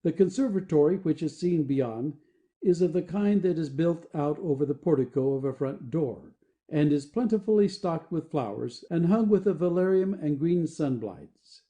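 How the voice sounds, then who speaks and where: A man reading the stage directions of a play as scripted narration, in a measured, descriptive way. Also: a little high, lively intonation, mellow, muffled recording.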